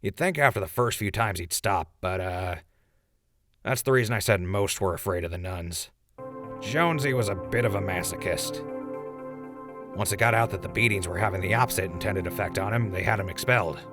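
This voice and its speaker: An actor playing a wisecracking, chatty ghoul radio host in a post-apocalyptic audio drama. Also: male voice, low voice, fairly quick, over music, natural voice. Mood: wry sales patter.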